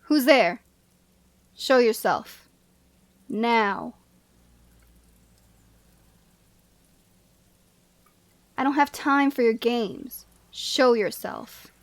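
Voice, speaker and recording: Woman voicing a proud, high-voiced yeti lady. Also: lively intonation, dry recording. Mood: commanding, threatening. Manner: imperious, measured, formal phrasing. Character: proud, commanding yeti woman, haughty yet teasing. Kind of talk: scripted ASMR roleplay acting.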